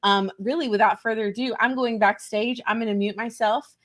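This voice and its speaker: A woman's voice: on the high side, fairly even intonation, fairly quick, fairly bright tone. A woman from West Texas with a Texan accent, hosting a live webinar over a video call in a chatty, upbeat way. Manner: chatty and upbeat, laughs often. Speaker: host.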